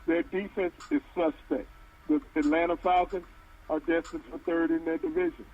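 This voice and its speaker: A man's voice: on the high side, fairly even intonation, moderate pace, darkish tone, dull audio. A man co-hosting a casual sports talk show, giving short, confident verdicts on where teams will finish.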